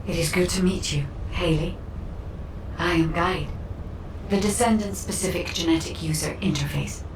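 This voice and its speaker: A woman voicing a courteous, calm artificial guide interface in a video game, speaking in measured, formal lines. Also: on the low side, close to an everyday voice. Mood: serene, courteous. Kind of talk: video game character dialogue. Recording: echoey, distant, with clear ambient noise.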